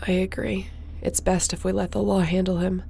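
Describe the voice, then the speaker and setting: A woman's voice: lowish voice, little pitch movement, moderate pace, creaky, dark tone, a little hiss or hum. A woman playing a tough, loyal space captain in a sci-fi audio roleplay, with an earnest, resolute manner. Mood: weary, tender.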